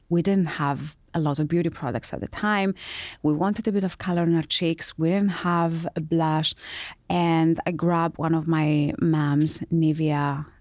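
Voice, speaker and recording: A woman's voice: sits low, darkish tone, no room sound, studio quality. A middle-aged woman from Greece, telling her story as an interview guest, with many ums and restarts. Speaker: guest, founder of a beauty brand.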